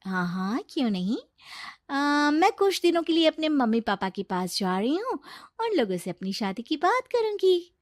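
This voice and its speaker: Woman putting on high, coy gold-digging girlfriend. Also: very animated, moderate pace, no echo, studio quality. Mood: sweetly evasive. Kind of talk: Hindi cartoon story dialogue.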